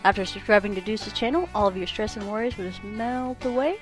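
A woman's voice: medium pitch, fairly quick. A woman reading a scripted promotional advert as an announcer. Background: music.

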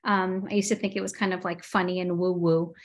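A woman's voice: on the low side, flat delivery, fairly quick. Chatty forties woman on a video call. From Los Angeles, USA. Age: 40s. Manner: chatty, frequent "um" fillers, laughs easily. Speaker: guest entrepreneur. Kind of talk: casual interview chat. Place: home room with window blinds.

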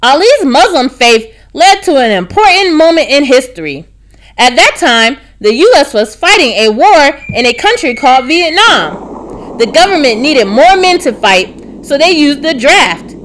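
A woman narrating a children's storybook, reading aloud expressively with clear enunciation. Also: high voice, big pitch swings, measured pace, a bit bright, slight background noise, poor audio. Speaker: narrator, read-aloud reader.

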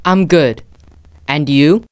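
A woman reading scripted dialogue for an English textbook recording, with clear, lively delivery. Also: deep voice, slow. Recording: dry studio audio.

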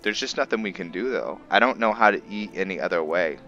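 A man giving casual commentary on his roleplay playthrough, haltingly thinking aloud as he goes. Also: low-pitched, lively intonation, sharp tone, dull audio, faint music behind. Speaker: gamer narrating a roleplay playthrough.

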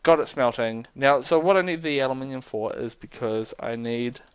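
A young man chatting casually while playing a game with a friend, reacting quickly. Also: mid-range voice, clear, resonant tone, no room sound. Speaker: gamer. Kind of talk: casual let's play chat.

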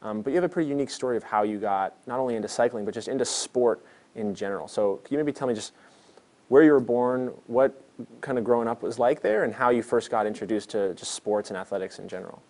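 A man's voice: medium pitch, quick pace, darkish tone. A man in his thirties hosting a show, asking friendly, chatty interview questions in a small studio.

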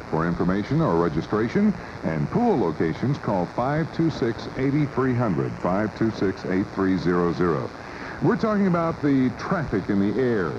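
Muffled low-voiced American man hosting talk radio. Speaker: radio talk show host. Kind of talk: casual talk radio interviewing. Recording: muffled 1980s AM radio cassette, loud noise.

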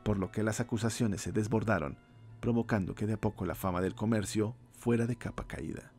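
Male horror narrator, gravelly, mid-pitched, slow ominous read over faint music. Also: fairly even intonation, darkish tone.

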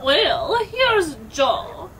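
A woman playing a brisk, harried mother of five in a comic audio drama. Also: extremely high pitch, sing-song, fairly bright tone, recorded from a distance, heavily acted. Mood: brisk, hurried.

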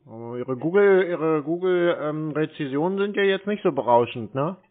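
A man's voice: a little high, animated, normal speed. A man in a casual phone conversation, dry, laconic and skeptical. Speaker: scam-baiter taking a sales call. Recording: narrow phone-like sound.

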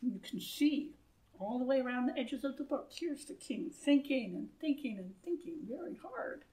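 A woman in her sixties reading a children's story aloud in an expressive, deliberate way, with asides to listeners, recorded indoors a few feet from the camera. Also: sits high, low-quality recording. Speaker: storybook reader. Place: wood-panelled church room, indoors. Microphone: camera a few feet away.

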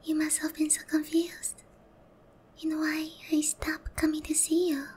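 A gentle young demon queen voiced by a woman speaks in a very high, delicate voice that often sinks into a breathy whisper. She is slow and hesitant, leaving room between phrases. The tone is tender and remorseful, in the style of an intimate ASMR roleplay. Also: completely flat delivery, a little hiss or hum. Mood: sad, resigned.

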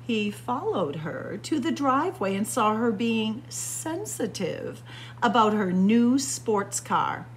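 A woman reading a children's picture book aloud with deliberate, expressive storytelling. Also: fairly high pitch, poor audio. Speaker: storytime reader. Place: indoors, room with library bookshelves. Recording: faint background noise.

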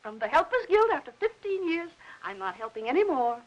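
A fussy american aunt in an old 50s movie, chatty n scolding, swoops all over, real high. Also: female voice, very animated, brisk, lo-fi sound. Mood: chatty concern. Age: middle-aged. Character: fussy, garrulous, easily offended middle-aged aunt. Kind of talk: 1950s Hollywood film acting. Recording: hissy old 1950s film soundtrack.

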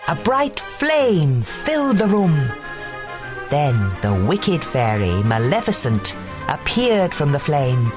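A woman reads a bedtime story as its narrator. Her voice is low and highly expressive, taken at a slow, deliberate pace. Music plays underneath.

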